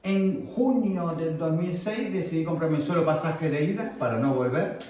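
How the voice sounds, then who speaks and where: A man's voice: on the high side, moderate pace, a little mellow, slight background noise. Man, Argentine accent, guest lecturer, friendly, conversational, distant mic, echoey hall. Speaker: guest speaker. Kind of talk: informal lecture to an audience. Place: meeting hall.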